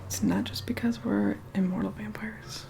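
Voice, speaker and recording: A woman's voice: mid-range voice, steady tone, moderate pace, gravelly, close to an everyday voice. A woman playing a haughty, impatient immortal vampire in a whispered ASMR role-play, curt, condescending and bossy as she reluctantly helps. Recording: close whispered voice under loud music. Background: music.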